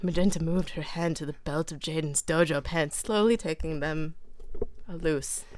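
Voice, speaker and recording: A woman reads fan fiction aloud in a mock-dramatic, theatrical way. Her voice sits a little low for a woman, and she trips over words now and then. The home recording has faint noise. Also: normal speed, darkish tone.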